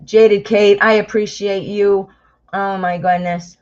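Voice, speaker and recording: A woman's voice: medium pitch, little pitch movement, slow pace, a little mellow. An American woman reads tarot cards live for her viewers, chatting easily and dropping endearments as she goes. She bursts into exclamations when a card surprises her. The stream audio is a little dull and lo-fi. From United States.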